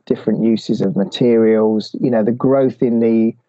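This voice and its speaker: A man's voice: fairly low pitch, fairly even intonation, normal speed, mellow, no echo, muffled recording. A man answering interview questions, hesitant, with many restarts and fillers. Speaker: guest expert.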